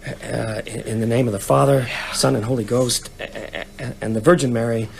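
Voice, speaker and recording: An actor in a radio drama playing an uneasy, earnest writer who speaks hesitantly. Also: male voice, mid-range voice, steady tone, normal speed, creaky, a little hiss or hum, close to an everyday voice, rough recording. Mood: flustered, fearful.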